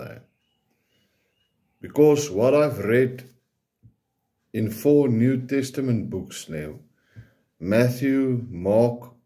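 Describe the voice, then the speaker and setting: A man's voice: mid-range voice, mellow. A man from South Africa whose first language is Afrikaans gives an informal, hesitant preaching talk in English with an Afrikaans accent, often ending phrases with a questioning tag.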